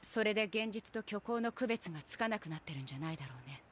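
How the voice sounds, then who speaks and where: A composed adult woman from an anime, acted by a woman. She talks in a calm, measured, understated way, with a cool temper. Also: medium pitch, natural voice. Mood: calm, concerned. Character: cool, composed adult female supernatural courier.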